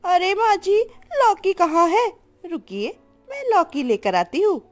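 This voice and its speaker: A woman's voice: very high voice, very animated, a little slow, faint music behind, pitched above the performer's own voice, full character voice. A woman voicing a cheeky, stubborn young daughter-in-law in a cartoon story, talking in a sweet, girlish, wheedling way. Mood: sweetly stubborn.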